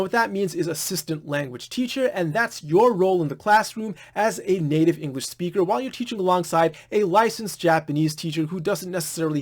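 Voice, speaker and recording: A young man from the United States giving candid, blunt advice in an informal monologue, with the odd swear word. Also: sits high. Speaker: vlogger.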